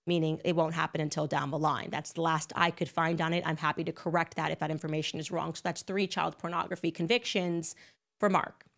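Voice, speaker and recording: A woman with a slightly low-pitched voice delivers a conversational monologue. She leans on key words for emphasis and keeps dropping in asides and fillers as she goes. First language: English. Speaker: host. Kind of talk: casual monologue commentary.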